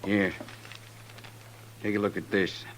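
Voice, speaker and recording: A greedy frontier land grabber speaks in a low American voice. His delivery is blunt and deliberate, in old-time radio western drama with faint recording hum. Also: fairly even intonation. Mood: businesslike.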